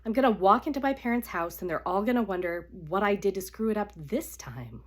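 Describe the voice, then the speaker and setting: A woman's voice: mid-range voice, animated, fairly quick, pitched above the performer's own voice, lightly acted. A woman with a cold voicing an anxious, stubborn jilted bride in a romance novel reading. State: congested with a cold.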